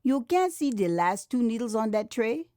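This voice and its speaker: A woman voicing a brisk, no-nonsense Liberian nurse with a Liberian accent, bossy and unyielding, in an audio drama. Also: a little high, big pitch swings, mellow, clean tone, dry recording, clean studio sound. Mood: bossy, teasing. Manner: firm, bossy, dialect phrasing.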